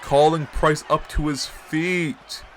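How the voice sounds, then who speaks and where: A man with a stuffy nose and sore throat calling a wrestling match as host, excitable and jumping to shouts on big moves. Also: fairly high pitch, takes it slowly, slight background noise. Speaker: wrestling commentator and show host. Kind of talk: play-by-play commentary.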